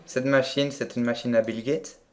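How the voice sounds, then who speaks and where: A man with an average-pitched voice talks on the phone as a prank caller posing as a buyer. He is deadpan and hesitant, yet keeps pushing with his questions in an unscripted way. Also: normal speed, a little hiss or hum.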